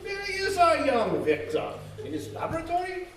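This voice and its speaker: An actor playing an elderly professor and mentor in an old-time radio horror drama, with theatrical exclamations. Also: male voice, extremely high pitch, huge pitch swings, moderate pace, fairly bright tone, heavily acted. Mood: genial. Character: elderly male professor, the scientist's old teacher. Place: live stage show in a community hall. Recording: echoey hall, far from mic, faint noise.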